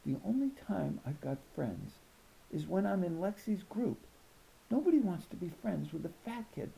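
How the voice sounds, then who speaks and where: Man voicing an upset, high-pitched storybook schoolgirl. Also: big pitch swings, normal speed, vocal fry, dark tone, heavily acted, poor audio. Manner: plaintive, protesting. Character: upset, insecure fifth-grade farm girl, loyal. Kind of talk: storybook character reading.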